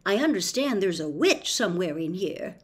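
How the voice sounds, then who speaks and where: A woman's voice: fairly low pitch, very animated, moderate pace, pitched below the performer's own voice. A woman voicing an earnest young boy in a storybook, plain-spoken and a little timid. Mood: wary.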